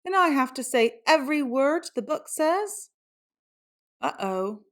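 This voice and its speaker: A woman's voice: high-pitched, sing-song, unhurried. A woman reading a children's book aloud in a theatrical storytelling style, with exaggerated exclamations.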